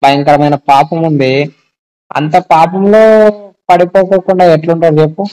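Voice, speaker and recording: Male, fairly high and very mellow, casual explanatory phone talk with rhetorical questions. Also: fairly even intonation, a little slow, clear, resonant tone, low-quality recording. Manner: explanatory, asks rhetorical check-back questions. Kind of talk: casual phone discussion of faith. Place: recorded phone call. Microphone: telephone.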